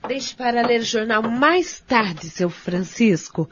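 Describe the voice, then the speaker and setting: An actress playing a polite, gently bossy care-home attendant in an audio soap, with a slightly husky voice. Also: female voice, fairly high pitch, animated, slow, a little hiss or hum. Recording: dull, close dry audio.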